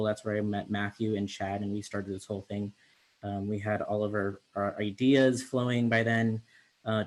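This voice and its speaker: A young man from the Bay Area, managing editor, casually hosting a virtual reading with chatty asides. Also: lowish voice. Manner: chatty, relaxed, with fillers.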